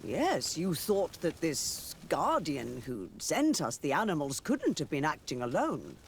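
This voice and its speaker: Proud, sceptical male church leader, high-pitched, swooping delivery, formal game drama. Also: huge pitch swings, unhurried, creaky, a little hiss or hum, exaggerated voice. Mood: probing. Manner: formal, theatrical, probing. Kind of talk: video game cutscene dialogue acting.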